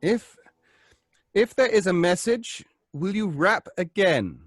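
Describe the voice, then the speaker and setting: An earnest séance leader in a classic radio horror drama. His voice is high and unhurried, with formal, hesitant phrasing that pleads solemnly. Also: lively intonation, no echo, clean studio sound, exaggerated voice. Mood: hushed, halting. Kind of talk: old British radio horror drama acting.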